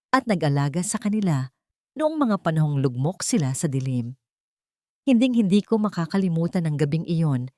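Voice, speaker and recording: A woman's voice: on the low side, a little mellow, no room sound, clean studio sound. A woman narrating a scripted first-person dramatic story as an audiobook reader.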